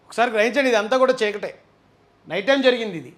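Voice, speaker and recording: A man presents live commentary in a very high-pitched voice. He talks quickly and emphatically, arguing his points and pressing viewers with rhetorical questions. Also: expressive, a bit bright.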